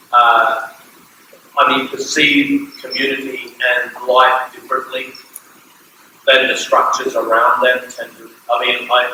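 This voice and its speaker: A man asks a question from the floor, heard far from the microphone in a very echoey room. His voice is bright and flat in pitch, and he muses as he searches for words, over faint noise. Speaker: audience member. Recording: distant and very echoey from the audience.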